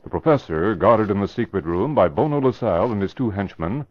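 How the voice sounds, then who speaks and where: A man's voice: fairly low pitch, lively intonation, brisk, no echo. A man giving formal, dramatic scripted narration for a 1940s style radio crime drama. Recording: muffled old radio recording.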